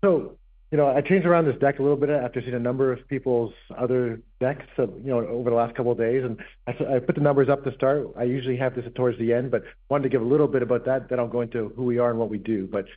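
Hoarse male CEO, quick fact-packed investor pitch, tinny line. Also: fairly even intonation. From Canada. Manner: brisk, fact-heavy, frequent restarts. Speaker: company CEO presenting. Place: conference session room.

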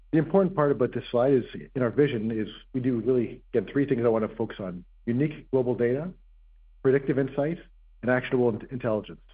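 Hoarse male CEO, quick fact-packed investor pitch, tinny line. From Canada. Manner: brisk, fact-heavy, frequent restarts. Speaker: company CEO presenting. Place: conference session room.

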